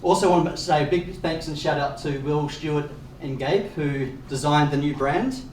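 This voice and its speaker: A man's voice: a little high, darkish tone. A man hosting a meetup, giving upbeat announcements with many fillers. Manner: upbeat, many fillers, quick asides with chuckles. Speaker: meetup organiser and host. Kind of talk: event welcome and announcements. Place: event space. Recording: echoey room from far off with faint noise.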